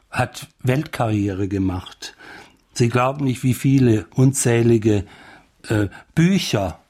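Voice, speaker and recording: A man's voice: mid-range voice, dark tone. A man giving thoughtful, reflective spoken commentary, searching for his words as he goes.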